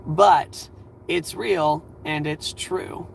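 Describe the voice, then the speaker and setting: A man in his twenties hosting a livestream, giving earnest advice in a rambling, halting way full of fillers, his voice a little scratchy and tired. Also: a little high, steady tone, vocal fry. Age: 20s. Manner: earnest, reassuring. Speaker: livestream host.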